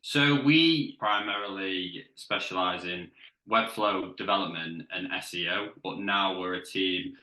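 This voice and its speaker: A very deep-voiced man with a sharp, bright edge to his tone talks over what sounds like an echoey room. He answers interview questions in a relaxed way, with his sentences often trailing off. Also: a little slow. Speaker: web agency owner.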